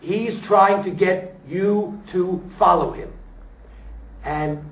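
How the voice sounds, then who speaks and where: A man, an actor at a talkback, speaks reflectively in halting, deliberate phrases with emphatic pauses. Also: high-pitched, a little hiss or hum. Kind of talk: reflective post-show discussion. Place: room at a staged reading, before an audience. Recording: echoey room, picked up from far off.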